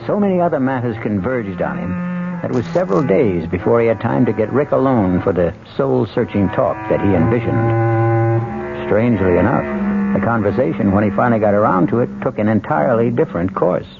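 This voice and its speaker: Male radio drama host, gravelly, measured storytelling, expressive pitch, loud music behind, old broadcast. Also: narrow, tinny audio. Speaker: host and narrator. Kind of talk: scripted radio drama narration.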